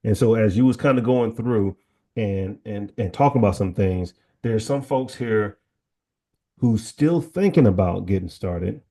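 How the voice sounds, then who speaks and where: A man's voice: lowish voice, measured pace, dark tone. A man hosting a casual online interview, encouraging and chatty, with lots of "you know" fillers. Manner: encouraging, rambling, filler-heavy. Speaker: host.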